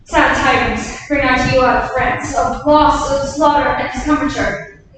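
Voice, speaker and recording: Woman as an urgent messenger, very high, echoing. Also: steady tone, moderate pace, close to an everyday voice. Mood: grave alarm. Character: distressed, urgent messenger bearing dire war news. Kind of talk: staged reading of Shakespearean verse, declamatory. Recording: very echoey hall from far off, faint noise.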